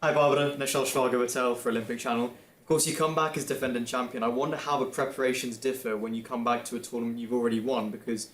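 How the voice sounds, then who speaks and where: A man's voice: medium pitch, fairly even intonation, quick pace, fairly bright tone. A man putting a question as a reporter at a press conference. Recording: echoing press room.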